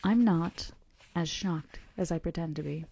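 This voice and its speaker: Woman voicing a gentle, mellow, melancholy male vampire. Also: lowish voice, husky. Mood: wistful, reflective. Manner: gentle, measured, confidential. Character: calm melancholy male vampire recounting his past. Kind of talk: audiobook-style character reading.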